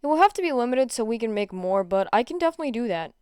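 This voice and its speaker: An agreeable, easygoing big teenage boy in an audio story, played by a woman. He talks cheerfully and practically in a clear, dry-recorded voice. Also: fairly high pitch, very animated, rapid-fire, a little mellow, no echo.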